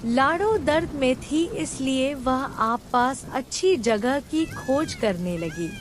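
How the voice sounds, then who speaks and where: A woman's voice: sits high, animated, slow, a little hiss or hum. A woman narrating a scripted cartoon children's story as the storyteller.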